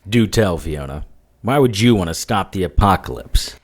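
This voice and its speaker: A dry, skeptical investigator. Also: male voice, deep voice, expressive, normal speed. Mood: guarded.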